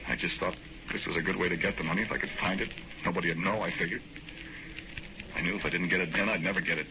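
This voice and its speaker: A man's voice: sits low, steady tone, quick pace. An actor in a 1940s radio drama playing an anxious condemned man who protests his innocence in a folksy, hesitant way. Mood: pleading, agitated. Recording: noisy old 1940s radio recording.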